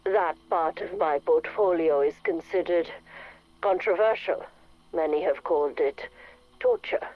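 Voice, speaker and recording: An actress playing a cold, sinister scientist in a game, speaking in a composed, formal, detached way. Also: female voice, on the low side, measured pace, lightly acted. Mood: guarded, formal. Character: cold, clinical, sinister female scientist villain. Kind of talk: dramatic game voice acting, measured dictation. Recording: dull radio-like audio log.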